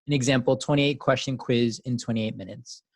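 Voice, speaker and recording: A man moderates an online meeting in a casual, halting way. His pitch sits in the middle and the sound is dry, with little room in it. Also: fairly even intonation, husky, dry recording. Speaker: moderator of a student open forum. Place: online video call.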